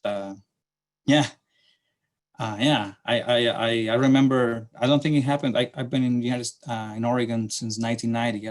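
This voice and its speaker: A middle-aged man from Chile with a Chilean Spanish accent, giving a slide presentation to a class in a halting way with many fillers and restarts. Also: lowish voice. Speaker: city transportation planner presenting to a class.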